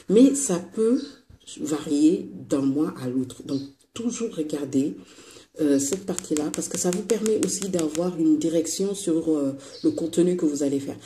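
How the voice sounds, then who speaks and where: A woman with a Senegalese French accent, congested with a cold, chatting casually to her vlog viewers in a hesitant, pausing way. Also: low voice, dark tone, echoey room, a little hiss or hum. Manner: chatty, hesitant with many pauses. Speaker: vlogger.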